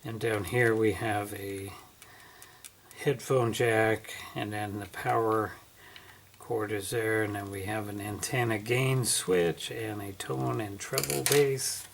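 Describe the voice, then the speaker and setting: Male amateur reviewer, folksy and unhurried, thinking aloud at a slow pace, slightly noisy home recording. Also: fairly low pitch, steady tone, creaky. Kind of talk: casual hands-on unboxing narration. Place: indoors at home.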